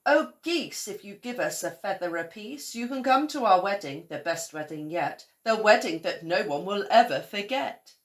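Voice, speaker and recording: A woman voicing a cheerful, affectionate storybook scarecrow with an excitable, lilting delivery. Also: mid-range voice, moderate pace, hardly any pauses, lightly acted. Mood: pleading, eager.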